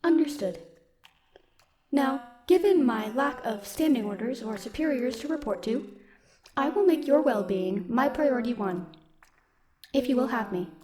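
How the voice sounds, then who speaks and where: Woman playing a formal, higher-voiced stoic robot companion. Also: lively intonation, low-quality recording. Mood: composed, caring. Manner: formal, measured, literal wording. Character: stoic, dutiful female military robot, gently protective. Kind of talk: scripted audio roleplay, speaking softly to one listener.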